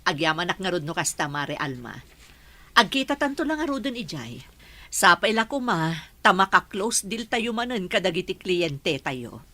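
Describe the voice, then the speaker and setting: A middle-aged woman with an Ilocano accent playing a firm businesswoman mother who talks in an animated way. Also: fairly low pitch, normal speed, heavily acted. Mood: friendly. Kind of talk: Ilocano audio drama.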